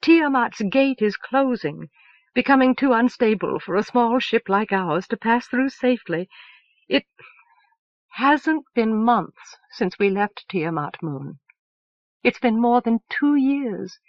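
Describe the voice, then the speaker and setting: A gentle older woman speaks slowly and sorrowfully, as voiced by a woman narrator. Her voice sits a little above the narrator's own, and the recording is dry and somewhat muffled. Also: a little high, lively intonation, dry recording, voice pushed up. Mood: grave. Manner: slow and sorrowful.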